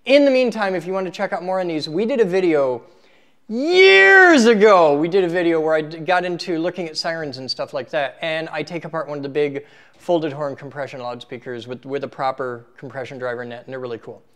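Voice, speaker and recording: A man's voice: fairly high pitch, brisk. A man hosting an equipment teardown, talking informally and casually to viewers and to himself as he works with his hands. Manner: informal, muttering to himself while working, animated when addressing viewers. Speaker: host of an equipment teardown video series. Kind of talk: casual hands-on demonstration, talking while working. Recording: clear background noise, handling clicks.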